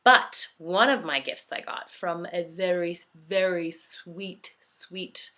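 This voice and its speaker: A middle-aged woman from Western Canada chatting warmly about skincare products, gushing, with many fillers and restarts. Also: lowish voice, slow. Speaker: beauty vlogger. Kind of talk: casual product review.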